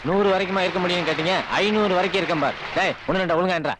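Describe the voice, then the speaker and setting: An actor playing a brisk, cocky village sidekick in a slapstick film comedy. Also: male voice, sits high, noisy background, natural voice. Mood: boastful.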